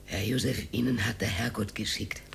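A woman's voice: a bass voice, steady tone, moderate pace, gravelly, a little hiss or hum. An actress playing a talkative older Austrian working-class widow who confides her memories in a radio drama, rambling and dropping into whispers. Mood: wistful, confiding. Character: talkative old working-class widow reminiscing about her hard wartime life. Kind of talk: radio drama monologue, naturalistic acting.

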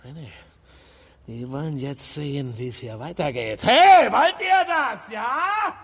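An actor playing a vengeful, sneering young thug in a radio drama, with a raspy, creaky voice. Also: male voice, on the high side, animated, slow pace, full character voice. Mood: scornful. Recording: long hollow reverb.

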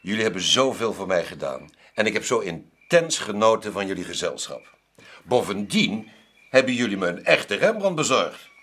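An actor playing a genteel, generous middle-aged art owner in a radio drama, husky, courteous and measured, savouring his phrases. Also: lowish voice, very animated, fairly bright tone. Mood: delighted, grateful.

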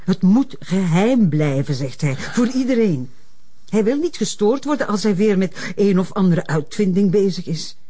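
A reserved middle-aged mother in a crime drama. Her voice is dark and mellow at a medium pitch, and she speaks formally, with measured composure. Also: expressive, husky. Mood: resigned, defensive. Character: reserved, formal mother of a troubled adult son. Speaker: actor in a detective drama. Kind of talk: naturalistic crime drama dialogue.

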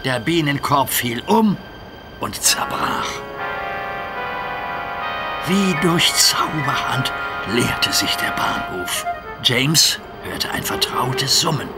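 A man narrating a scripted children's story in an expressive storytelling manner. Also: medium pitch, slow, long pauses, loud music behind the voice.

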